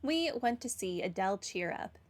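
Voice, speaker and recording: A woman's voice: mid-range voice, big pitch swings, moderate pace. A woman giving casual, offhand playthrough commentary, thinking aloud as she plays. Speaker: gaming streamer. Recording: game music behind the voice. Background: clearly audible game music.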